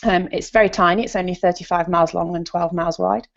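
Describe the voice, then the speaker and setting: A woman's voice: on the low side, steady tone, quick pace, creaky. A woman, a language teacher, introducing herself politely on a video call. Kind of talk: self-introduction. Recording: dull lo-fi video call audio.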